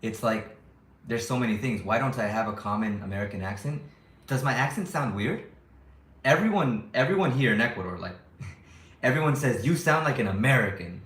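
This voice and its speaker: A man's voice: mid-range voice, expressive, moderate pace, a bit bright, echoey room. A young man vlogging to his viewers in a casual, rambling way, often restarting his sentences and second-guessing himself. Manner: rambling, self-questioning, frequent restarts. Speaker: YouTuber living abroad. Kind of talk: casual vlog talk to viewers.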